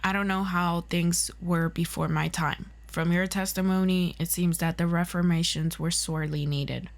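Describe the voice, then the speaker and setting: A woman playing a formal, stern agency interrogator in an ASMR audio roleplay, questioning a former hero in a measured, clipped way. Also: lowish voice, steady tone, vocal fry. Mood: cool, probing.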